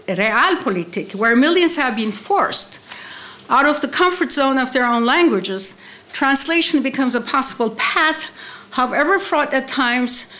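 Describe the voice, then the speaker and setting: A middle-aged woman delivering a scholarly keynote lecture, mostly read from a prepared text, with deliberate emphasis on key terms. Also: high voice, a bit bright. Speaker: keynote speaker, literary scholar. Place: indoor conference hall, seated at a desk with laptop. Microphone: desk microphone on a stand.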